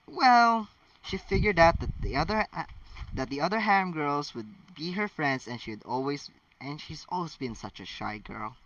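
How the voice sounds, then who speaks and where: A man's voice: medium pitch, a bit bright, no room sound, recorded from a distance, muffled recording. Man playing an anxious, exasperated mother.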